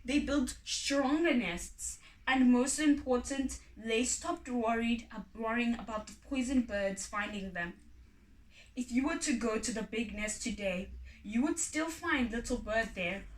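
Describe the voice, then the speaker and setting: A woman tells a folk tale in a slightly high voice. Her delivery is slow and measured. The room echoes around her and the mic is a bit distant. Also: a little hiss or hum. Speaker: story reader. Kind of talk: expressive folk tale reading.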